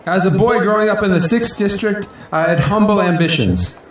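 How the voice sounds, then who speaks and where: Male actor as proud politician, grandiose earnest oratory, lively swooping pitch, heavy hall echo, audio drama. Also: normal speed. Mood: humble, proud. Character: proud, idealistic male politician giving a victory speech. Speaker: newly elected city council president. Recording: echoey hall, distant mic, crowd noise. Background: crowd and faint noise.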